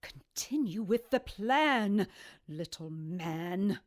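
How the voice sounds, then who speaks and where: A woman's voice: mid-range voice, expressive, drawn-out words. A woman playing a menacing, imperious snake creature in an audio drama, haughty and threatening. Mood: cold menace.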